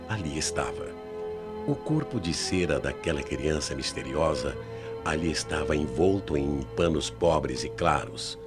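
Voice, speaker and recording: A man with a Brazilian accent narrating a radio mystery drama in a solemn, theatrical way with deliberate phrasing. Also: very deep voice. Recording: old AM radio tape, music underneath.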